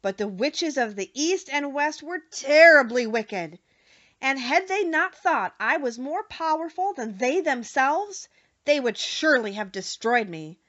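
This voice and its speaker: A small old humbug wizard from a storybook , acted out by a woman, pitched high and swinging expressively as he confesses earnestly and sorrowfully. The recording is slightly dull. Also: big pitch swings, a little slow, a bit bright, exaggerated voice. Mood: earnest confession. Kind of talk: audiobook character voice.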